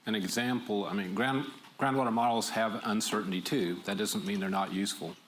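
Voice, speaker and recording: Deep-voiced man explaining at a public meeting. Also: fairly quick, slight background noise. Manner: explanatory, steady, plain technical. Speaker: groundwater program presenter.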